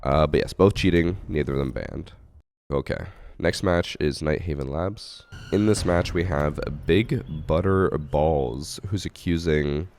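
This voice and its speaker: A hoarse man with a cough, casually analyzing gameplay clips as a gaming channel host. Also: very deep voice, a little slow, a little mellow, dry recording. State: hoarse from a cough, losing his voice. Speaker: gaming channel host reviewing submitted matches. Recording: game gunfire behind the voice.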